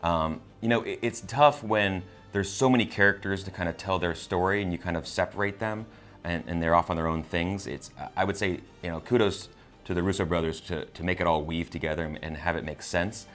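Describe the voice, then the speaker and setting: A man co-hosting a casual movie discussion, talking in a halting, rambling way and often repeating words. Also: low voice, fairly bright tone, soft background music. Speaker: entertainment show co-host reviewing a film.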